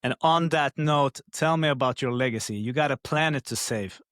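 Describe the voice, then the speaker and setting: Male podcast host, direct and curious, mid pitch, dry close mic. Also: normal speed, a bit bright, no echo. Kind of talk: interview questions.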